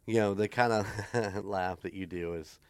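Low-voiced man, relaxed podcast banter. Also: normal speed, clear, resonant tone, no room sound, clean studio sound. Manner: joking, rambling with restarts. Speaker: podcast co-host. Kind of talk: casual chat.

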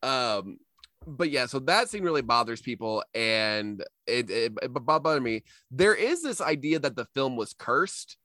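Man, podcast co-host, wry riffing banter, average pitch, loose conversational delivery, dry close sound. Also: expressive, unhurried, bright, forceful tone, no echo. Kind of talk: casual joking podcast banter.

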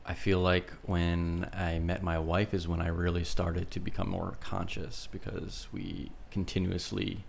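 A man with a very low, gravelly voice chats as a guest on a podcast. His pitch barely moves as he talks calmly and hesitantly. A faint hum of noise sits under his voice. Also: a little slow, few pauses. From New York state. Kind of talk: casual interview chat.

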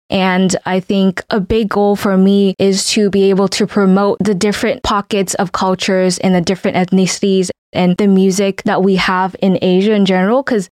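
A woman in her twenties from Vietnam, whose first language is Vietnamese, speaks in a reflective, flowing way as a podcast co-host. Her voice sits at a middle pitch, recorded close and dry. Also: darkish tone, no room sound. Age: 20s. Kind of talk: casual chat.

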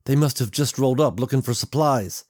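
A man plays a worried, protective dad in an audiobook story. His pitch is pushed up from a low register. He speaks with agitated urgency, as a father guarding his child. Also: brisk, a little mellow, no room sound, studio quality, close to an everyday voice. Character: anxious, protective suburban father of a young girl.

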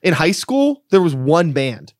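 A man's voice: a little high, big pitch swings, unhurried, dry recording, studio quality. A man in his late thirties from the Pittsburgh area, hosting a loose, casual interview with plenty of "like" and the odd swear. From Pittsburgh area, USA. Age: 30s. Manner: loose and chatty, heavy filler "like", swears casually. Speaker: podcast host.

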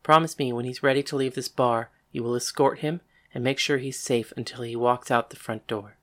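A woman's voice: rumbling low, fairly even intonation, a little fast. A woman playing a fierce, commanding female gunslinger leader in a dramatized western audiobook, protective and defiant, with emphatic challenges. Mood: firm.

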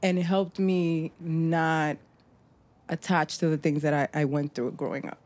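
A woman raised in the Bronx, a mutual aid organizer, talking as a guest in a casual interview, relaxed and reflective with lots of "like" and "um". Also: low voice, a little slow. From New York, raised in the Bronx.

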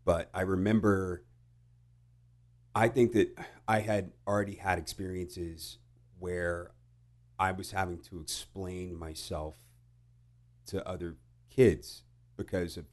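A middle-aged American man telling personal stories casually, halting and reflective, with some swearing. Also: sits low, steady tone. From United States. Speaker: podcast guest. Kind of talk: casual conversational storytelling.

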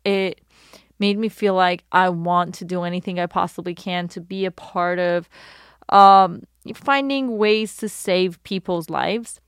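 A woman in her thirties from Iran, a guest telling her story in a casual interview, expressive and full of "like". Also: fairly low pitch, unhurried, clean tone, dry recording, studio quality. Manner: expressive, fillers like "like".

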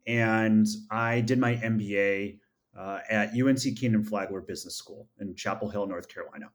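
A man from upstate New York, a podcast guest, answering in a measured, earnest way with frequent filler sounds. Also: on the low side. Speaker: podcast guest, MBA graduate and founder. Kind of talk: reflective interview answers.

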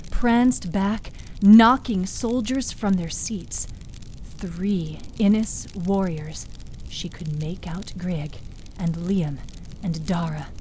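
A woman narrating a scripted fantasy story dramatically, with measured phrasing and deliberate pauses, as a podcast storyteller. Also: mid-range voice, darkish tone, a little hiss or hum, low-quality recording. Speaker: narrator and producer of a fiction podcast.

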